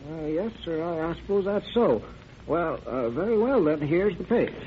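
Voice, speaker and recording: An actor playing a nervous, fussy, deferential small-town druggist in an old radio drama, hesitant and stammering, repeating himself. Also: male voice, on the high side, animated, normal speed, lightly acted. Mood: nervous, reluctant. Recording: dull, noisy old radio recording.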